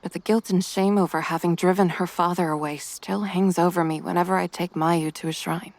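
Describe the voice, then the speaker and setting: A woman voicing a reserved, refined mother who carries quiet guilt, with formal, measured wording in a motion comic. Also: fairly low pitch, fairly even intonation, vocal fry, no echo. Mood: sorrowful, hushed.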